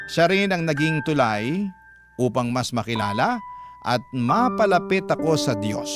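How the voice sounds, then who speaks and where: A man narrating an emotional scripted first-person story for a radio drama, measured and earnest. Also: medium pitch. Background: prominent background music.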